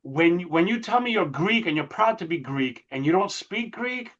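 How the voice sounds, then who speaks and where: A man's voice: sits high, animated, normal speed, a bit bright. Opinionated man, slightly raised voice, muffled sound. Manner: opinionated, hedging, many fillers. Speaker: podcast host. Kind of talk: casual chat.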